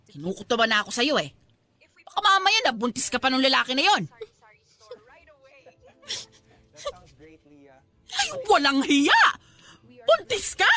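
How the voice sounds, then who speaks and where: A woman's voice: high voice, pushed and bright, exaggerated voice. A woman playing an angry, scolding mother in a radio drama, berating and accusing her daughter. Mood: enraged. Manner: accusing, berating, rapid questions. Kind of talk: Filipino radio drama acting.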